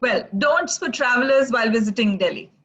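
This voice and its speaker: A woman's voice: a little high, expressive, a little fast, fairly bright tone, breathy edge, lo-fi sound. A woman interviewer asking short, polite questions. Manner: brief, polite prompts.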